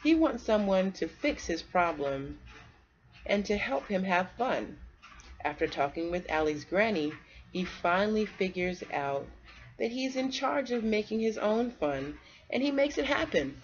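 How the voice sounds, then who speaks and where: A woman from North Carolina reading aloud to children in a conversational way, pausing to put questions to the young listeners. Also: medium pitch, lively intonation, lo-fi sound. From North Carolina, United States. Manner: conversational, asks the listener questions and pauses for answers.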